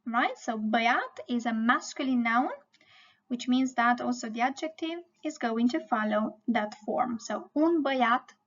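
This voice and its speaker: Slow, high-pitched woman teaching. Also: lo-fi sound. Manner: didactic, checks in often with okay and right. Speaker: language teacher. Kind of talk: explanatory language lesson to camera.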